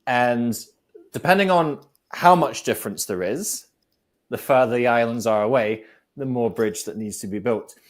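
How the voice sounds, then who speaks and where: A man who talks his ideas through in an even, mid-pitched voice. He is a guest on a talk show, reflective and fond of working analogies step by step. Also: normal speed. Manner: reflective, builds points with analogies. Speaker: guest speaker. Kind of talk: conversational advice on a talk show.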